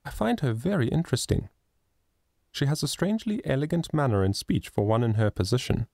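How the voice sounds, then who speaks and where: A man reading a storybook, voicing a gentle, refined mother who speaks kindly. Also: medium pitch, animated, a little fast, gravelly, no room sound, studio quality, pitched above the performer's own voice.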